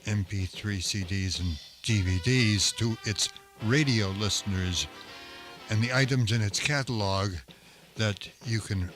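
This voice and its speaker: A man presenting an old-time radio programme in a measured, scripted announcing style. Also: on the low side, over music.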